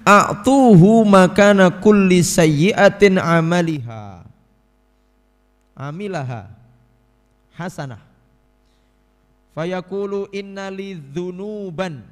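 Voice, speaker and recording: A man with a Javanese accent giving a religious study lecture, reading Arabic text and explaining it in a relaxed, chatty way to his audience, often clearing his throat. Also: sits high, in a large hall. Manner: mixes Arabic recitation with casual explanation, addresses audience as Bapak Ibu. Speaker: ustadz teaching a hadith book.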